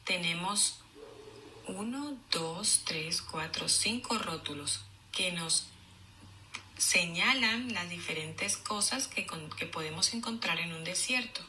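A woman reads a children's lesson aloud with a sharp, very bright voice. She goes slowly and explains each idea deliberately, as a teacher does. A faint noise sits behind her. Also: lowish voice, expressive. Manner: deliberate, explanatory, enunciating for young learners.

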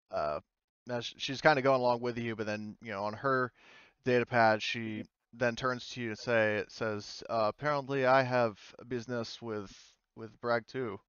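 A man running a tabletop roleplaying game as game master, narrating informally and haltingly and speaking for characters in his own voice. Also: medium pitch, a bit bright, clear, resonant tone, no echo, dull audio.

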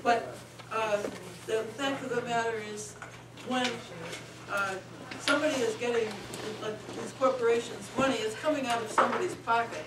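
A woman speaks up from the floor in halting, indignant bursts, her voice sitting a little high. She sounds distant in an echoey room, with loud clinking of dishes and cutlery behind her. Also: steady tone, very noisy. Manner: halting, indignant, many uh pauses. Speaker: audience speaker. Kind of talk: impromptu floor speech. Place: restaurant meeting room.

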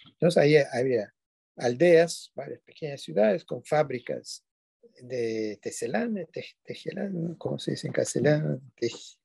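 A man's voice: a little high, animated, measured pace, vocal fry, dark tone, breathy edge, no room sound. An older man whose first language is not Spanish, giving an online lecture from a dim room at home over a webcam, digressing and often self-correcting with tag questions. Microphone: video call webcam.

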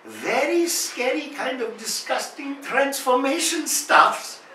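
Man doing an excitable, high-pitched man. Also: heavily acted. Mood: excited. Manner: exclaiming, halting, drawn-out delivery. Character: excitable Japanese man, giggly, speaking broken English. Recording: roomy, distant mic, noisy room.

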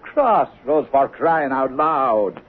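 A man's voice: medium pitch, animated, slow, husky. A man playing a bewildered, blunt but kindly diner boss in a 1970s radio drama, full of colloquial questions and exclamations. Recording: tinny, hissy vintage radio broadcast.

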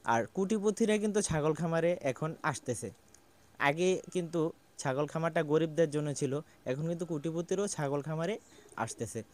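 A young man from Bangladesh, a small goat farmer, giving earnest, explanatory advice to the camera in a farmyard and pausing on "ah" as he thinks. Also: a little high, a little mellow. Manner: earnest and explanatory, with frequent "ah" pauses. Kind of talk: casual advice talk to camera. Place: outdoor farmyard with goats and pigeons. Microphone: small handheld mic near the mouth.

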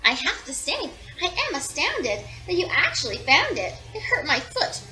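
A woman voicing an excitable, blunt but generous storybook kangaroo, emphatic and exclamatory. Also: high-pitched, normal speed, reverberant, soft background music, exaggerated voice, low-quality recording. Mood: astonished, emphatic.

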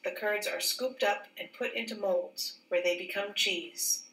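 Female storybook reader, teacher type: unhurried, careful phrasing, expressive dialogue, roomy distant recording. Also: medium pitch. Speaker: teacher reading a picture book aloud. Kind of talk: read-aloud storybook narration. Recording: roomy echo, distant mic.

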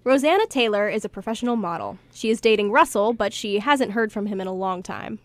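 A woman's voice: mid-range voice, lively intonation, brisk, no room sound. A woman reading scripted story narration for a radio play.

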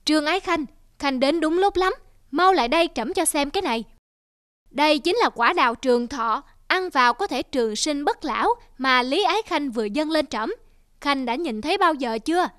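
A woman's voice: sits high, animated, moderate pace, dry recording, studio quality. Woman voicing an imperious old emperor. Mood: gracious. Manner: commanding, flares into angry shouting. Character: proud hot-tempered old male emperor. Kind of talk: cartoon voice acting.

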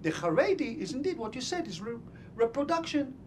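A man's voice: very high voice, very animated, fairly quick, slight background noise. A middle-aged man with an Israeli accent gives an opinionated, emphatic comment at an academic discussion, speaking into a handheld microphone. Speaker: audience member and scholar commenting at a panel. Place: conference room full of seated audience.

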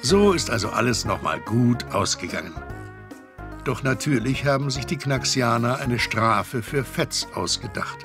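A man's voice: medium pitch, lively intonation, a little slow, over music. A man narrating a children's audio drama as a scripted storyteller.

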